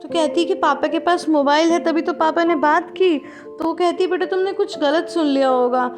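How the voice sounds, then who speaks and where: A woman's voice: very high-pitched, fairly even intonation, normal speed. A woman hosting a drama recap channel, retelling each scene in a chatty way and speaking straight to her viewers. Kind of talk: conversational plot recap. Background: background music.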